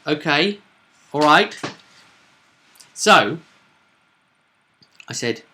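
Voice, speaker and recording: A man's voice: fairly high pitch, expressive, very slow, pushed and bright. A man chatting as a game master, recounting a tabletop roleplay session with emphatic, dramatic storytelling.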